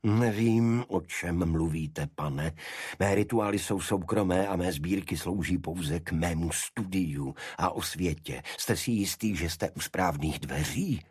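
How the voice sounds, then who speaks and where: Guy doing a vengeful fanatic cultist in a mystery audiobook, husky, worked up and indignant, very dry sound. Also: deep voice, darkish tone, no echo. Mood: guarded, indignant. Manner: impassioned, indignant, halting repetitions. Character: fanatical vengeful English gentleman cultist, grieving father.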